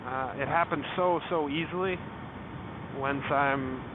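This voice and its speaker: A man's voice: fairly high pitch, unhurried. Guy with a bit of a southern drawl rambling outside, cussing, sounds like a phone with lots of noise. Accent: light Southern US drawl. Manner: rambling, curses casually. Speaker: motorcycle travel vlogger. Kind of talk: casual talk to camera. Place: outdoors near a levee. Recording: tinny phone-like sound with loud background noise.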